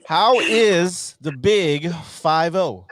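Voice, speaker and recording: A man in his forties hosting a casual live-stream chat, rambling with halting starts and fillers. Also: on the high side, fairly bright tone. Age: 40s. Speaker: host.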